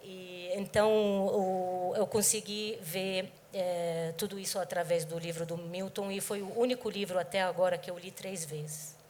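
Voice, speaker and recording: Hesitant woman on a panel, low-pitched. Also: fairly even intonation, moderate pace, a little mellow, slight background noise. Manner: hesitant, many fillers and restarts. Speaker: translator and panel guest. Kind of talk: panel discussion answer. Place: book fair stage.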